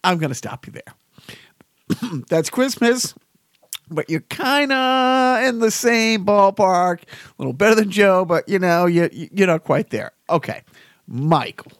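A man's voice: sits high, animated, unhurried, no echo, studio quality, pitched above the performer's own voice. A man voicing a casual, exasperated Saint Peter quizzing newcomers. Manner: casual, exasperated quiz-master. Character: Saint Peter, weary gatekeeper of heaven.

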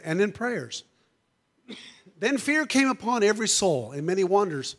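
A preacher man with a notably high voice delivers a fervent church sermon. His voice is going hoarse, and he peppers his lines with "amen" and "hallelujah" while calling out to the congregation. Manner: calls out to the congregation. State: losing his voice. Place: church sanctuary.

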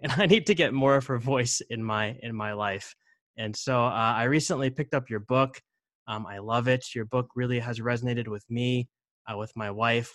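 A man's voice: mid-range voice, no room sound. A man hosting a podcast, talking in a friendly, chatty way with many fillers. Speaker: podcast host. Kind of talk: casual interview chat.

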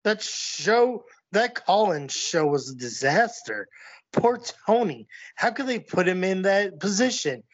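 A man's voice: sits high, big pitch swings, unhurried, heavily acted. A man playing a lecherous, exasperated, wisecracking wrestler in a comedic audio play. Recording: muffled, music underneath.

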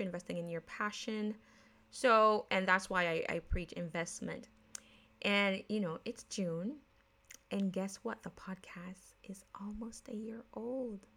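Bright-voiced Jamaican-American woman podcaster. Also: medium pitch. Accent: Jamaican mixed with American. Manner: chatty, laughs at herself, many fillers and restarts. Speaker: podcast host. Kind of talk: casual solo podcast talk on investing.

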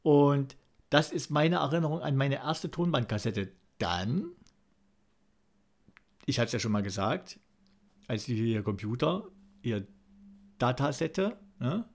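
A man's voice: mid-range voice, creaky, a little mellow. A man in his forties from East Germany telling anecdotes in casual, colloquial podcast talk, with a wry touch. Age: 40s. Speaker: podcast co-host.

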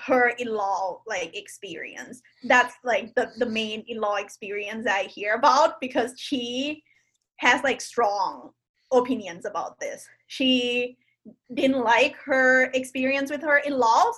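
Podcast guest: woman from Thailand, fairly high voice, casual and hesitant with lots of fillers. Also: takes it slowly, a bit bright. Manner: hesitant, many fillers and restarts. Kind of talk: casual conversation.

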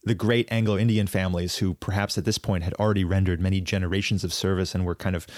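Low-voiced man explaining history, halting. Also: fairly even intonation, darkish tone, no room sound. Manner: thoughtful, halting, many fillers and restarts. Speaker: podcast co-host. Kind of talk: casual podcast discussion, explaining history at length.

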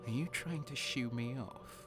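A man's voice: mid-range voice, unhurried, over music, close to an everyday voice. An actor playing a grim, sadistic traitor guardsman in an audio drama, curt and contemptuous. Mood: wary. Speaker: first-person narrator and character.

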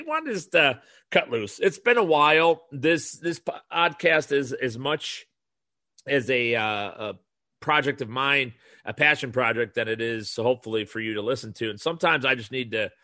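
A man hosts his podcast alone, talking in a nasal, bright, forceful voice. He rambles loosely, restarts his sentences and slips in sales pitches. The sound is dry and close. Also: no echo. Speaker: podcast host. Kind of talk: solo podcast monologue.

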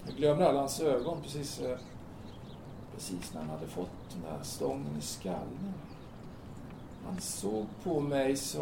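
A man plays a blunt, matter-of-fact guy in an audio drama, speaking in a colloquial, hesitant way. His voice sits fairly high yet soft-toned. The recording is echoey with faint noise. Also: gravelly. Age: 30s. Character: blunt, casual thirtyish man talking down a would-be jumper. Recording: roomy, distant, noisy amateur-sounding recording.

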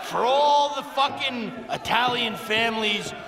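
An actor playing a crude, boastful uncle in a comic audio drama, bombastic and rambling. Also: male voice, very high-pitched, expressive, measured pace, vocal fry, shouting, crowd noise, full character voice. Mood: scornful. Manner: foul-mouthed, bombastic, rambling. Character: crude, boastful, smelly uncle in theater troupe. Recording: echoey hall with audience noise.

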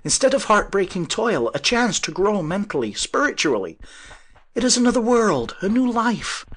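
A man's voice: mid-range voice, normal speed, fairly bright tone, husky. A man voicing a young idealistic inventor in a storybook reading, impassioned and breathless with emotion. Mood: exultant pleading. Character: young idealistic male inventor, exultant and fervent.

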